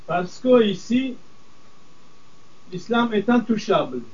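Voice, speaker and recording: An older man, a priest whose first language is Aramaic, answering interview questions in a firm, deliberate way. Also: sits high, big pitch swings, a little mellow. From Middle East. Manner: firm, deliberate, measured emphasis. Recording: distant, dull lo-fi sound.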